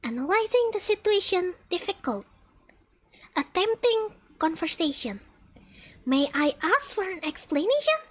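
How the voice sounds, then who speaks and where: A woman performs a high-voiced robot girl from an anime game. She has no memory and reports on herself in stiff, formal phrases, halting like a machine processing data. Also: very animated, fairly bright tone, full character voice. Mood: blank, mechanical. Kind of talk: live anime game fandub.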